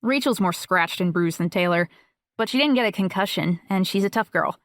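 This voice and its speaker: A woman's voice: medium pitch, fairly quick, dry recording, clean studio sound, close to an everyday voice. A young woman playing a self-assured, talkative friend who reassures a worried parent in a fluent, matter-of-fact way in an audiobook. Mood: casual, confiding. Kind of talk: audiobook dialogue acting.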